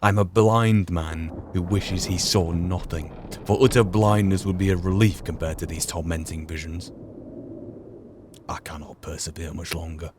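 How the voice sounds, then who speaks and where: A man's voice: low voice, steady tone, moderate pace, lightly acted. A man voicing a lonely, tormented old professor, reading a journal aloud in a formal, theatrical way. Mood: grief, despair. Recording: faint background noise.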